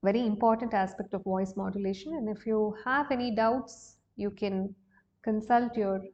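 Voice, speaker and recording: A woman's voice: mid-range voice, steady tone, moderate pace, darkish tone, highs cut off. A woman with an Indian accent teaching a singing lesson, explaining exercises step by step. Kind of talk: instructional lesson with sung demonstrations.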